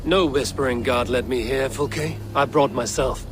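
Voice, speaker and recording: A woman's voice: extremely low pitch, moderate pace. A woman playing a blunt, headstrong Viking warrior in a video game, challenging and sceptical in delivery. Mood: impatient. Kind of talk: dramatic video game acting. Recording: music behind the voice.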